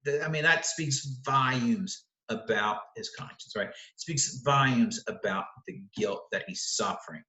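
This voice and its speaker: A man's voice: mid-range voice, unhurried, hardly any pauses, sharp tone, reverberant, low-quality recording. A man teaching literature, walking through the text of a play and explaining it line by line, often correcting himself as he goes.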